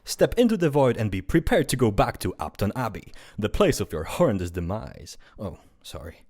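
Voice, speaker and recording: A performer voicing a brisk, dry afterlife official with an officious, mildly bored manner in an amateur audio drama. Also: mid-range voice, big pitch swings, a little mellow.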